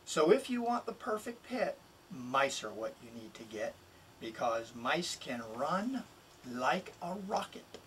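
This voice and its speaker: A middle-aged man, a children's author, introducing and expressively reading a picture book in a deliberate, emphatic way. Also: mid-range voice, sing-song, fairly bright tone. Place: small wood-panelled home office, indoors. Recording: distant mic, room noise.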